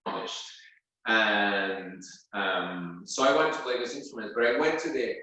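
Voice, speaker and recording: A man's voice: on the low side, steady tone, measured pace, pushed and bright, very echoey, distant mic, rough recording. A man from Venezuela, a guest flute player, answering interview questions at home with many fillers and restarts. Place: his home.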